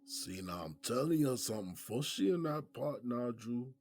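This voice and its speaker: An American man playing a streetwise, seasoned inmate in an audio drama, with a husky voice and folksy, slangy talk. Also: mid-range voice, lively intonation, normal speed, a little mellow, a little hiss or hum, lightly acted. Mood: easygoing, friendly. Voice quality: husky, gravelly. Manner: folksy street slang, probing rhetorical questions. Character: streetwise, seasoned male prison inmate mentoring a younger friend.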